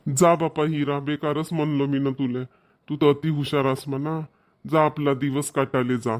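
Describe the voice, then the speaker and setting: A man's voice: fairly high pitch, steady tone, normal speed, natural voice. A man with a Varhadi Marathi accent voicing a resigned, grumbling middle-aged village husband in a cartoon comedy. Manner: complaining, colloquial.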